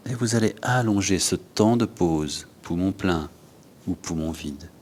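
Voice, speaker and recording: A man with a low-pitched voice teaches yoga and breathwork. He gives short, unhurried instructions and leaves pauses between them. A faint low rumble runs behind his voice. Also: a little mellow.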